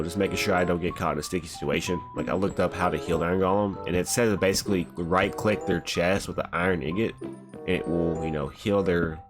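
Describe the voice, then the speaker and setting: A young man with a very low, stuffy voice from a cold, talking in a laid-back, rambling way as he plays. Music plays clearly behind him. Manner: laid-back, rambling, says "bro" often. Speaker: gaming channel host. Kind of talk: casual gameplay commentary. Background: background music.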